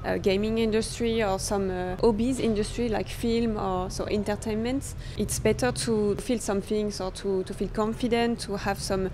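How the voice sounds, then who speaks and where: A French-accented woman interviewing a guest in English at a conference, pausing often to search for her words. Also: medium pitch, fairly even intonation, background noise. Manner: halting, searching for words with many fillers. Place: crypto conference venue.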